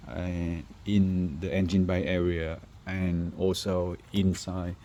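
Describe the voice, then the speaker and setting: A man who is not a native English speaker, presenting a car installation job in an informal, halting walkthrough. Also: sits low, flat delivery, warm tone, a little hiss or hum. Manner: halting, hesitant phrasing. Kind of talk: informal workshop walkthrough video.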